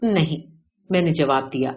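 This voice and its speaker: A woman's voice: deep voice, darkish tone, pitched below the performer's own voice, lightly acted. A woman reading the part of a reserved, wry male visitor in a story, with brief understated replies. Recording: dull lo-fi audio.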